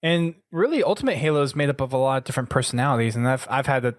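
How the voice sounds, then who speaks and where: A man hosting a casual podcast interview in a measured, conversational way. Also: medium pitch, no room sound.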